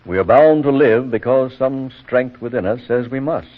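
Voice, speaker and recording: A man's voice: medium pitch, moderate pace, close to an everyday voice. A man playing a kindly, courteous, hesitant older country reverend in a 1940s radio drama. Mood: thoughtful. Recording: tinny, narrow vintage radio recording.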